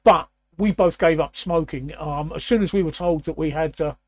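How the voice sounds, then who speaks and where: A man in his sixties with a croaky, raspy voice, hosting a casual chat and often clearing his throat. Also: on the high side, moderate pace, a little mellow. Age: 60s. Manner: repeats words, frequent throat clearing. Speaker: host.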